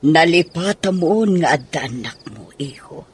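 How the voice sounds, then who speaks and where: A man's voice: fairly high pitch, lively intonation, measured pace, slight background noise. A man playing a firm but caring elderly grandmother with an Ilocano accent in a radio drama. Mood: reproachful.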